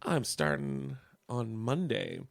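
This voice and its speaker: Man chatting in a lively, swinging voice. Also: lowish voice, slow, creaky, no room sound, clean studio sound. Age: 30s. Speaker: community radio co-host. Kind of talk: casual chat and event announcements.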